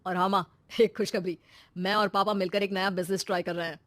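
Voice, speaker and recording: A woman's voice: medium pitch, steady tone, a little fast, fairly bright tone, no room sound, natural voice. Woman acting as young adult brother, earnest, trusting, casual delivery, moral-story dialogue, dry recording. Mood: pleased.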